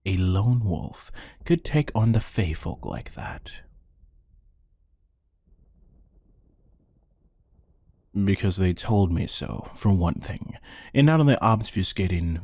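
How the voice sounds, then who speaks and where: A man playing a bored, menacing hired interrogator in an ASMR roleplay, speaking in a deadpan, condescending, taunting way with a husky voice. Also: sits low, dry recording, studio quality, full character voice. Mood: cold, interrogating.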